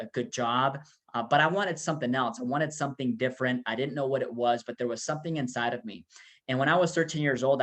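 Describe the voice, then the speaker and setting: A man from Peru gives a relaxed sales presentation at a middle pitch for a man. He talks casually, with lots of "uh" and "you know". He tells stories as he builds toward the pitch. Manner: casual, many fillers, motivational storytelling. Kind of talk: business opportunity presentation.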